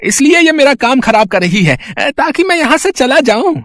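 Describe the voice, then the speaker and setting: A man's voice: very high voice, big pitch swings, normal speed, a bit bright, exaggerated voice. A man playing a shifty, bullying male character in a moral-story cartoon, with a blustering manner. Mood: defensive. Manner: blustering, threatening. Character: shifty, bullying male sweet-shop owner and drunkard husband. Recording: music bed behind the voice.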